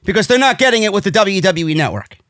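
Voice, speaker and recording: A man's voice: sits high, animated, fast talker, fairly bright tone, no room sound, studio quality. A man with a New York accent delivering a brash, profane, indignant rant as an opinionated wrestling commentary host, hammering his points with emphasis. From United States.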